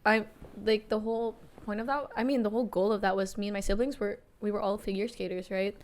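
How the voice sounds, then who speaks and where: A woman's voice: medium pitch, steady tone, fast. A woman in her twenties from the Philippines, chatting casually as a podcast co-host and often searching for words. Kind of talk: casual chat.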